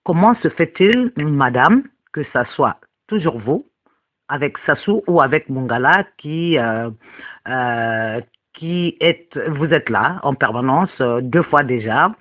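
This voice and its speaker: Male interviewer, probing questions, tinny telephone line, somewhat high pitch. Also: expressive, slow. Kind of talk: journalistic questioning.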